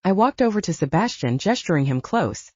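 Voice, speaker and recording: A woman with a lower-pitched, mellow voice narrates an audiobook in the first person, her pitch moving a lot as she tells the story. The sound is dry and a little dull, like a lo-fi home recording. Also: very animated, a little fast, husky, dry recording.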